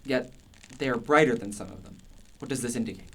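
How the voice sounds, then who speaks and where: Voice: mid-range voice, fairly quick, a bit bright, natural voice. An actor playing a passionate, contrarian older philosopher in a radio play, declamatory and emphatic. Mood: fervent.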